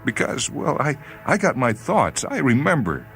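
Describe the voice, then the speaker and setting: Man playing a folksy, carefree spaceman, pitched up. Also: wildly swooping pitch, moderate pace, fairly bright tone, soft background music. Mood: indignant, faltering. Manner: folksy, faltering when challenged. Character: Lespeare, carefree dying spaceman, boastful womanizer, indignant.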